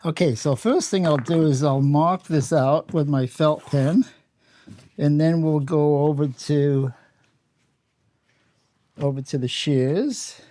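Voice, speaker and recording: A man's voice: fairly high pitch, mellow. A man with a Canadian accent casually explaining hands-on workshop steps as he works, plain-spoken and matter-of-fact. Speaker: host of a workshop how-to channel.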